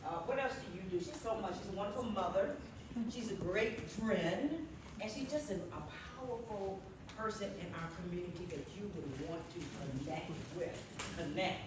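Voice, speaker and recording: A woman's voice: medium pitch, normal speed. An older woman introducing a conference panel in a chatty, joking way, often laughing. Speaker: organization leader introducing the panel. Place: large conference room. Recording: echoey hall, distant mic, loud background noise.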